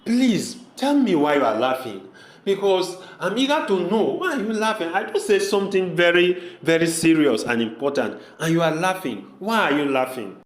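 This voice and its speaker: Earnest troubled brother played by a man, high voice, emphatic and repetitive pleading, roomy echo. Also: sing-song, normal speed. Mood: puzzled, insistent. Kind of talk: amateur audio drama acting.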